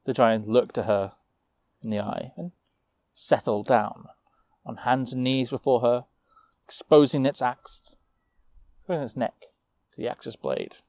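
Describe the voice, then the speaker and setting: A man narrating a fantasy tale as a storyteller, with a measured, theatrical delivery. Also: medium pitch, fairly even intonation, darkish tone, no room sound.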